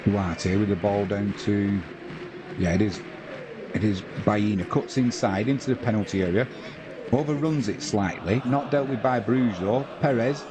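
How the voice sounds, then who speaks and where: A lower-voiced English man calls a football match live, mixing play-by-play with easygoing chat aimed at his viewers. Clear background noise sits under his voice throughout the stream. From England. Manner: conversational play-by-play, reading out viewer comments. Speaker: watch-along commentator.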